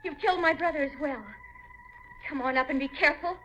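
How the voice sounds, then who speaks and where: An actress playing a frightened, defiant and unhinged young wife in a 1950s radio mystery, shrill, breathless and melodramatic, on a noisy old recording. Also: female voice, quick pace, bright, forceful tone, lo-fi sound. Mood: cold, menacing. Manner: melodramatic, intense.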